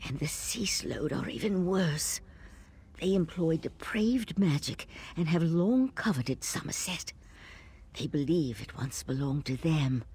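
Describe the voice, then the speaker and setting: An actress playing a wary, knowledgeable fantasy adventurer in a video game, measured and serious. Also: female voice, sits low, a little mellow, slightly rough, full character voice.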